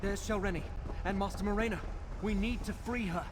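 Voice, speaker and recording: An actor playing an earnest, protective and inquisitive scholarly adventurer in a video game. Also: male voice, sits high, fairly even intonation, a little fast, background noise, lightly acted. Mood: urgent, protective.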